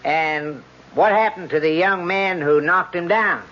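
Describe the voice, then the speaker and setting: An actor playing a methodical, weary defense lawyer in a radio drama, questioning formally and deliberately. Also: male voice, sits high, fairly bright tone. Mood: probing. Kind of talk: 1970s radio drama acting. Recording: dull old radio broadcast recording.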